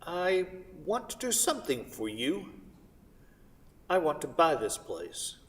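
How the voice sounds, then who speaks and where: A man's voice: on the high side, huge pitch swings, takes it slowly, a bit bright, heavily acted. Man acting an insistent, wistful buyer in a distant hall. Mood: earnest, insistent. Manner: earnest, effusive, insistent. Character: wealthy, insistent, wistful divorced male art buyer. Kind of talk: staged play reading. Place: theatre stage. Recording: echoey hall, distant microphone.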